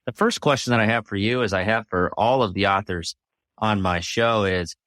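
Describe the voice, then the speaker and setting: A man's voice: on the low side, normal speed, fairly bright tone, dry recording, clean studio sound. A man hosting a podcast, talking in a conversational interview style. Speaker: podcast host.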